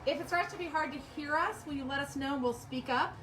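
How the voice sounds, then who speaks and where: A woman co-hosting a live show from a small shop, chatting casually with a loud, bubbly voice and frequent laughter. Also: high-pitched, fast, echoey room, far from the mic, noisy background. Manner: bubbly and playful, laughs often. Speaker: co-host of a live store show. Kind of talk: casual chat.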